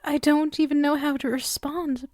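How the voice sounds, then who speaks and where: A woman voicing a clingy, obsessively devoted female demon in an intimate ASMR roleplay, softly and tenderly, often close to a whisper. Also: sits high, little pitch movement, normal speed, no room sound, lightly acted. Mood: relieved, adoring. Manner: soft, breathy, often dropping to a whisper. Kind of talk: ASMR roleplay, intimate monologue addressed to listener.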